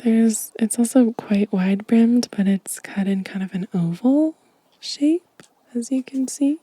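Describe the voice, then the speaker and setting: A woman giving a gentle, unhurried ASMR show and tell in a soft, mostly whispered, breathy voice, close to the microphone. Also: fairly high pitch, no room sound. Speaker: ASMR video host.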